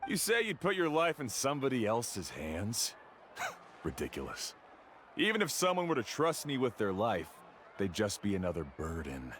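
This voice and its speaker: A man's voice: lowish voice, wildly swooping pitch, moderate pace, fairly bright tone, slight background noise. A man playing an arrogant, dismissive expert guide in a video game, with a blunt, condescending manner. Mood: scornful.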